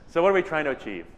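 A man with a loud voice presenting slides at a technical meeting, speaking casually with fillers. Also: on the high side, in a large hall, highs cut off. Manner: loud voice, conversational with many fillers. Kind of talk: presenting slides, then open floor discussion. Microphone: microphone in a meeting room.

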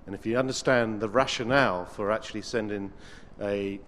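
A man raising a question from the floor at a technical meeting, halting and restarting his phrases. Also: fairly low pitch, fairly even intonation, fairly bright tone, long reverb tail, slight background noise. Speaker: meeting participant asking a question. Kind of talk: open-floor discussion.

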